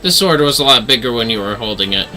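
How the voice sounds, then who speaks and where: A man with a very bright, forceful voice does casual gameplay commentary over music. His pitch jumps around in a lively way as he mutters and exclaims to himself. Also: quick pace. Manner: animated, talks to himself. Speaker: livestreamer.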